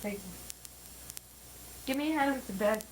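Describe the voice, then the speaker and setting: A woman's voice: high-pitched, normal speed, a little mellow, a little hiss or hum, lightly acted, low-quality recording. An actress playing a guarded woman in her thirties with a dry, sardonic way of talking. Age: 30s.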